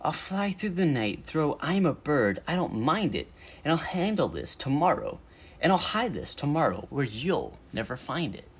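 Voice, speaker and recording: A man's voice: on the high side, big pitch swings, normal speed, slight background noise. A man voicing a mighty villainous eagle in a storybook, with a sneering, defiant manner. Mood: dismissive.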